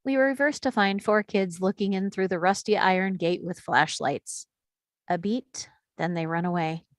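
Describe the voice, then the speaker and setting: A woman narrates screenplay action and scene headings from a script. Her voice is on the low side, and the recording is very dry and close, free of room sound. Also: normal speed, no echo. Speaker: stage directions reader.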